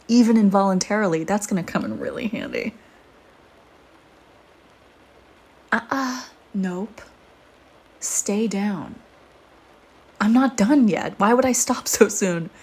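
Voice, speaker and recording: A woman voicing a flirty, teasing, predatory female vampire in an intimate audio roleplay, with playful banter and breathy murmurs. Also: mid-range voice, darkish tone. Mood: smug, taunting.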